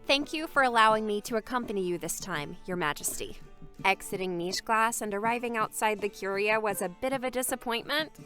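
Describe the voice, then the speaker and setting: A woman's voice: mid-range voice, a little fast, fairly bright tone, soft background music, natural voice. A woman playing a polite young noblewoman of a fantasy court in a fandub, speaking in a courteous, formal, articulate manner. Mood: polite, explaining. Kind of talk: fandub fantasy drama acting.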